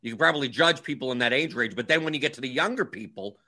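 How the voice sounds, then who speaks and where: A man's voice: medium pitch, lively intonation, fast talker, bright, forceful tone. Wry, grumbling man in his forties. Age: 40s. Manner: wry, grumbling, repeats himself. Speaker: livestream host. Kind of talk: casual livestream chat.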